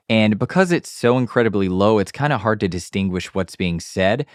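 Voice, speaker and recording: Male tutorial host, lowish voice, informal and explanatory, close dry studio sound. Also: no echo. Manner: informal, frequent check-in tags like okay and all right. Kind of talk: casual step-by-step tutorial talk. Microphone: close studio dynamic mic on an arm.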